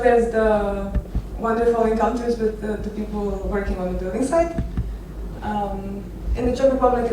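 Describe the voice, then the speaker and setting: A woman in her thirties from Romania gives an informal talk as a photographer, telling personal stories with hesitant, halting phrasing. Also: mid-range voice, dark tone. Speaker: architecture photographer giving a conference talk. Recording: echoey noisy venue, distant microphone.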